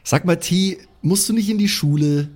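Man doing an exasperated, high-pitched rockstar woman. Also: normal speed, darkish tone. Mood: annoyed. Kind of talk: improvised audio drama.

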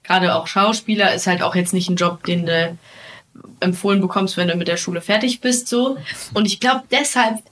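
A young woman hosting a podcast, chatting in a curious, colloquial and lively way. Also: lowish voice, moderate pace. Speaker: podcast host, actress. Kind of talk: casual podcast chat.

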